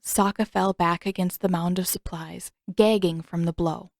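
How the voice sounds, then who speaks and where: A woman reading a story aloud as an audiobook narrator, with expressive scripted delivery. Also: lowish voice, normal speed, vocal fry, no echo, studio quality.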